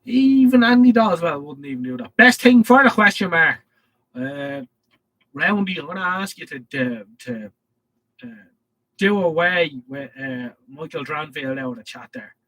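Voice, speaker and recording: A man's voice: a little high, sing-song, a little slow, a bit bright, no room sound, rough recording. A man with an Irish accent and a head cold, hosting a casual live stream and reading out viewer comments in a rambling way. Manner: rambling, reads chat messages aloud.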